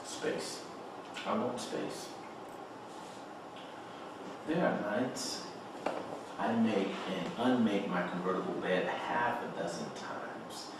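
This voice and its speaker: A man's voice: lowish voice, takes it slowly, pauses often. A man playing a desperate, trapped writer defending himself in a table read of a stage play. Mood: anguished. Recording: echoey room, distant mic, noise.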